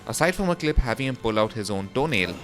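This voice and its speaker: A man's voice: medium pitch, lively intonation, fast talker, fairly bright tone. A man reading a scripted voice-over for a gaming news roundup, in the role of presenter. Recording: music behind the voice. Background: background music.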